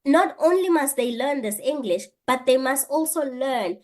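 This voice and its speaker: A woman's voice: high-pitched, animated, normal speed, a little mellow. Earnest woman thinking aloud. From South Africa. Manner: earnest, thinks aloud with rhetorical questions and frequent restarts. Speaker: guest, PhD candidate and lecturer. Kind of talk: reflective interview answer.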